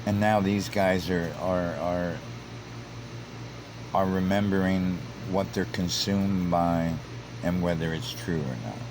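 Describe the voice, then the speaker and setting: Man, low voice, casual commentary read off a screen, stumbling restarts, hum of noise behind. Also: steady tone, slow. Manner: repeats words and restarts, grows heated and emphatic. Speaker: commentator reading online comments.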